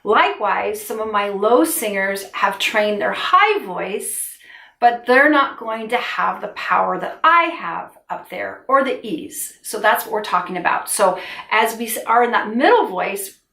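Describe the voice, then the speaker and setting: A woman's voice: mid-range voice, lively intonation, a little slow, vocal fry, distant mic. A middle-aged woman and vocal coach, giving a friendly, encouraging singing lesson to camera in a small room at home. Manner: warm encouraging teacher, gestures with hands while explaining. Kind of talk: instructional video lesson. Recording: roomy sound, a little off-mic.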